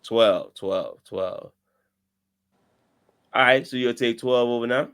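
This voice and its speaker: A man co-hosting a casual sports podcast, relaxed and steering the topics, often repeating his words. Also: medium pitch, slow pace, creaky, clear, resonant tone. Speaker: podcast co-host. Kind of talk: casual sports debate chat.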